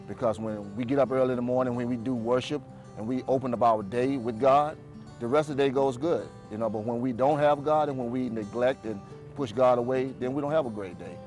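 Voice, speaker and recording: Man, quick talker, heartfelt, repeats phrases, faint music underneath. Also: mid-range voice, a little mellow. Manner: heartfelt, repeats himself, lots of "you know". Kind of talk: testimonial interview.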